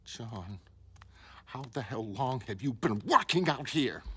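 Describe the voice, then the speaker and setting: A man's voice: medium pitch, big pitch swings, slow, fairly bright tone, slightly rough, full character voice. A man voicing an exasperated, emotional woman in a motion comic, with an agitated, pleading and blunt manner. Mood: angry, hurt. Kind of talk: motion comic dramatic reading.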